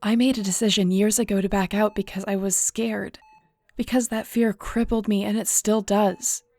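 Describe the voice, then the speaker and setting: A woman's voice: mid-range voice, steady tone, moderate pace, dark tone, no echo, lightly acted. A woman acting in an audio roleplay as a weary, guilt-ridden shifter investigator, speaking in a somber, conversational, confiding way. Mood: anguished, self-blaming.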